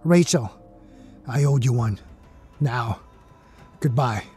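A man's voice: mid-range voice, slow, mellow, breathy edge. A man playing a resolute survivor making a solemn, emphatic farewell in a sci-fi audio drama. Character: resolute man sacrificing himself to guard the door. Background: music.

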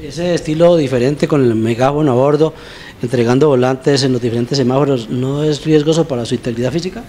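A man's voice: mid-range voice, brisk, background noise. A middle-aged Colombian man hosting a local television interview, putting questions to a guest. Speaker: interviewer, host. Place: room with brick wall, seated on sofa. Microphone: handheld interview microphone.

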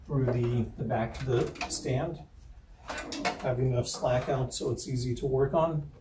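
A man in his forties giving step-by-step hardware setup instructions, pausing as he works with his hands. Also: mid-range voice. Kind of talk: step-by-step product setup tutorial, demonstrating. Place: small office indoors. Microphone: camera a few feet away. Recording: distant echoey room mic, loud handling noise.

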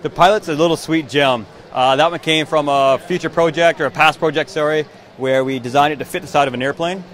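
A man's voice: fairly even intonation, fairly quick, hardly any pauses, fairly bright tone. A man in his forties talks eagerly about the boats his company builds. He speaks into a handheld mic held out by an interviewer at an indoor trade show booth. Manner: enthusiastic sales pitch. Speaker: canoe maker.

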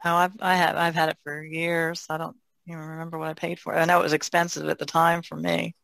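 A woman's voice: low-pitched, level tone, fast, gravelly, fairly bright tone, no room sound. A woman talking casually as a guest on a podcast. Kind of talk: casual conversation.

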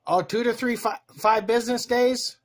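Guy w high pitched voice doing a joky prank call, fake sincere. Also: steady tone, moderate pace. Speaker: prank caller. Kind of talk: casual joking phone call.

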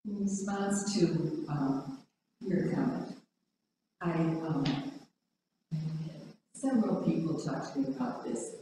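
A woman who speaks Norwegian natively, sharing haltingly in a group discussion and searching for words. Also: low voice, warm tone. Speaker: participant in a prayer vigil. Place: large room at a spirituality center. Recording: echoey hall, distant mic, faint noise.

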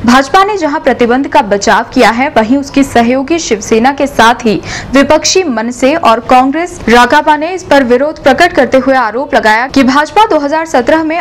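A young woman news anchor reading scripted headlines in a formal, even newsreader delivery, recorded in a TV news studio. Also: high voice, slight background noise.

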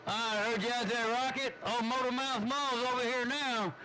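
A man's voice: extremely high pitch, fairly even intonation, normal speed, sharp tone, slight background noise, low-quality recording. A man chatting casually over CB radio in a folksy, teasing, slangy way. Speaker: CB radio operator.

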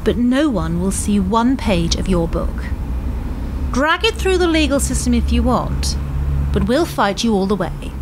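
A woman acting in a radio play as a cold, menacing power broker, formal and measured in her threats. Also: a little high, sing-song, background noise. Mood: firm, threatening.

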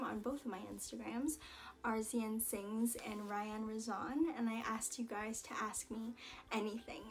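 A young woman from Canada, a singer answering viewer questions in a casual, chatty vlog with relaxed fillers and asides. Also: fairly high pitch. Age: young adult. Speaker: singer and vlogger. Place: small indoor room against a plain wall. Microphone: camera in front at head-and-shoulders framing.

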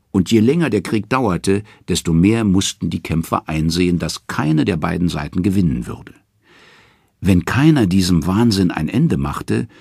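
A man narrating mythological tales from a script in a measured, dramatic storytelling manner. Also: sits low, creaky, darkish tone, slightly rough, no room sound, clean studio sound.

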